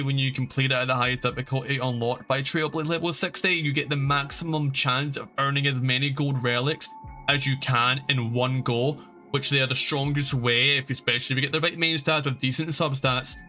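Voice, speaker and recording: A man's voice: medium pitch, pushed and bright. A young man livestreaming a video game, chatting casually and explaining things in a rambling, informal way. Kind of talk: casual stream chat and game advice.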